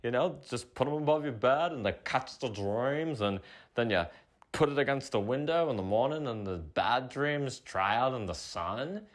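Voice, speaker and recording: A man playing a laid-back hippie vendor in a comedy monologue, rambling through a drawling sales pitch. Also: animated, normal speed, lightly acted. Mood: breezy, selling.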